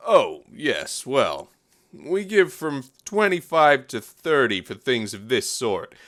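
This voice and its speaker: A man's voice: medium pitch, very animated, slow pace, fairly bright tone. An actor playing a worldly, businesslike male newspaper editor in a period audio drama, offhand and dismissive.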